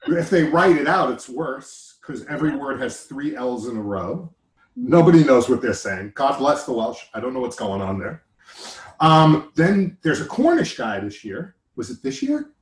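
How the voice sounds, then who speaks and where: An American man talks in a loose, joking way, wandering off track and correcting himself as he goes. His voice sits at an ordinary middle pitch. The room around him gives it a noticeable echo. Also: big pitch swings, normal speed. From United States. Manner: joking, rambling, self-interrupting. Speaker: podcast host. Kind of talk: casual chat.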